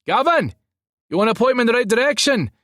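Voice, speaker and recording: A polite security guard with a Scottish accent put on by a male narrator, raised in pitch. He is courteous and official, an audiobook character recorded close and dry. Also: moderate pace, a bit bright, no echo, close to an everyday voice. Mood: brisk, courteous.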